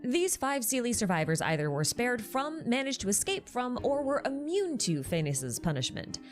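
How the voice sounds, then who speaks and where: A woman's voice: fairly high pitch, big pitch swings, moderate pace, music in the background. A woman narrating a scripted explainer with casual asides, in an articulate storytelling manner. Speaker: lore video narrator.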